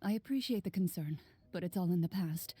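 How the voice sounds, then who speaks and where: An actress playing a calm young woman game master in a video game, narrating softly in a measured, composed way. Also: on the low side, slightly rough, no echo. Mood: reserved, reflective.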